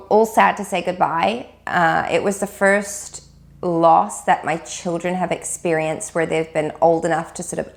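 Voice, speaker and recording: A woman in her thirties with a husky, slightly nasal voice from a cold, chatting casually to camera from a warm room at home. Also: lowish voice. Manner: chatty, rambling, frequent ums and restarts. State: recovering from a cold. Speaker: homeschool vlogger.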